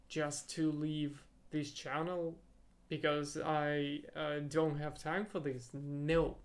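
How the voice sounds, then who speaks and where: A young man whose first language is Russian, thinking aloud in a halting solo podcast monologue and searching for his words. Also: on the high side, vocal fry. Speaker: podcast host.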